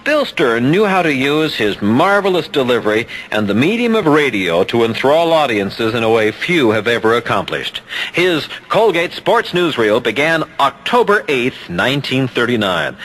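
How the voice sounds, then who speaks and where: Radio host man, lively intonation, slight noise. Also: mid-range voice. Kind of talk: scripted radio narration. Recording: noisy off-air radio tape.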